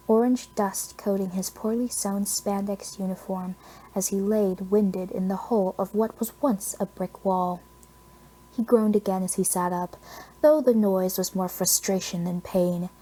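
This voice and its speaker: Woman, audiobook narrator, mid-range pitch, scripted fiction reading, expressive storytelling. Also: low-quality recording.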